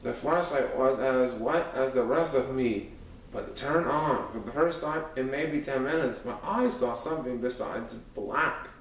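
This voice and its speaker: A man's voice: mid-range voice, unhurried, slight background noise. A man reading a first-person story aloud as a scripted narration. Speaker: audiobook narrator. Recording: echoey room, far from microphone.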